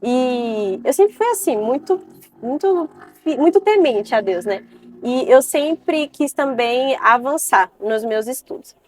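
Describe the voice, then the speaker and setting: A woman in her twenties from Salvador, Brazil, talking earnestly as a podcast guest and often pausing and adding "né". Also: sits high, lively intonation, a little mellow. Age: 20s. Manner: earnest, with many hesitations and "né" tags. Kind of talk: casual interview chat.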